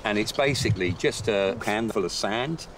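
Man, 50s, curator, calm explainer, deeper voice, outdoor noise behind. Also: normal speed. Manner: calm, matter-of-fact, explaining step by step. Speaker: museum curator. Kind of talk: explanatory on-site chat. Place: outdoors at a tank museum.

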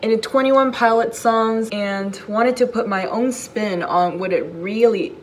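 A woman's voice: fairly high pitch, unhurried, a little hiss or hum. Jokey young North American woman vlogging. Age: late teens. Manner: self-mocking, jokey and theatrical. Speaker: YouTuber. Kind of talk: casual vlog chat with dramatic readings. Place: basement room at home, indoors. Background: background music.

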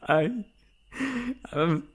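A man playing a remorseful, self-loathing young prince in a formal, halting audio roleplay monologue. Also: on the high side, expressive, voice pushed up. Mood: anguished, pleading. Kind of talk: dramatic ASMR roleplay monologue.

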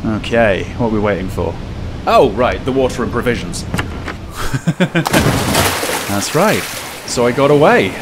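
Wry, animated man commentating over noisy ambience. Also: medium pitch, unhurried, long pauses. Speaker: gaming video host. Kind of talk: casual gameplay commentary. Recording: very noisy game ambience behind.

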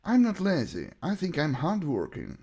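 A man with a Ukrainian accent, a native Ukrainian speaker, teaching English by deliberately reading out short example sentences and repeating them. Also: a little high, wildly swooping pitch, dark tone, breathy edge. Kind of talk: bilingual language lesson, reading example sentences and translations.

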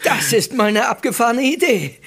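A woman's voice: fairly high pitch, very animated, normal speed, slightly rough, heavily acted. A woman playing an upbeat, witty young girl bandmate in a German audio drama. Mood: amused.

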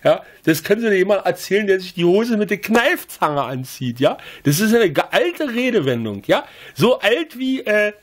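A man's voice: high voice, very animated, normal speed, few pauses. A man with a Berlin accent ranting in a rambling, conversational way, peppering his talk with chuckles, hesitations and tag questions. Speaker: critic.

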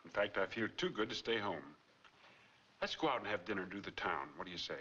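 Suave, low-voiced noir husband. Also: male voice, animated, fast talker, bright, forceful tone, slightly rough, exaggerated voice. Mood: solicitous. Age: middle-aged. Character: suave, solicitous middle-aged husband hiding secrets. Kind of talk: 1940s film noir acting. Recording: dull 1940s film soundtrack with music.

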